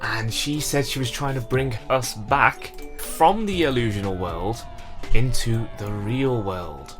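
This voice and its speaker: A man's voice: mid-range voice, expressive, slow pace. A man giving an informal, conversational visual novel review with wry asides. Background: music.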